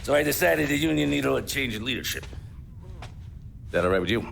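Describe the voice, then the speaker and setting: An actor playing a cold, domineering American crime boss, sardonic and quietly menacing. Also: male voice, medium pitch, expressive, brisk, gravelly, a little hiss or hum. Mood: quiet menace.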